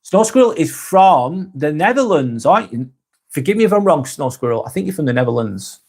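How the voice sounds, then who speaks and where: English guy on a livestream, bit of a higher voice, casual, stumbles and corrects himself, laughs a lot. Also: very animated, a little fast, darkish tone, breathy edge. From England. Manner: chatty, self-correcting, laughs easily. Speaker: live vlog host reading chat.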